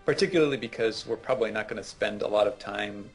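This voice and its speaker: A man co-hosting a gaming show, chatting casually and explaining things with hesitant pauses and fillers. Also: lowish voice, husky, soft background music. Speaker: gaming co-host. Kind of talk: casual chat, game advice.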